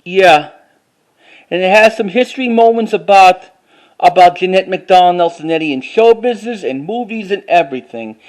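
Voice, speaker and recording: A man with a halting, word-repeating way of talking, doing a casual show-and-tell like an enthusiastic collector. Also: high voice. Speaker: vlogger.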